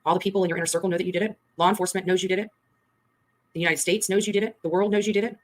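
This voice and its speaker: A woman's voice: fairly low pitch, steady tone, racing, a little hiss or hum. A woman giving emphatic, accusatory commentary on a livestream, repeating her points. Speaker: online case commentator.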